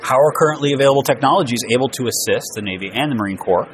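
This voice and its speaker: A man's voice: mid-range voice, lively intonation, racing, some chatter behind. A man hosting an interview, asking prepared questions. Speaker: interviewer, host. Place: naval trade show event.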